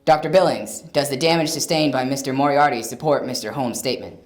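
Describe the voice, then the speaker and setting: A man as mid-pitched formal courtroom lawyer. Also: a little fast, natural voice. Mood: formal, probing. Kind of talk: amateur audio drama acting.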